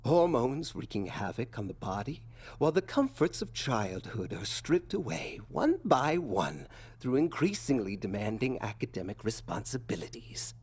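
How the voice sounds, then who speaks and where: A man playing an unctuous, quietly threatening school official, speaking formally and theatrically in a video game. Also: medium pitch, very animated, slightly rough. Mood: falsely welcoming. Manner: formal, measured, insinuating.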